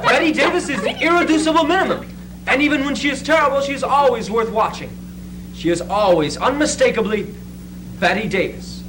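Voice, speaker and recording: A man's voice: extremely high pitch, animated, brisk. A young man giving declamatory scripted narration in a readers theatre performance, on a noisy stage recording. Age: young adult.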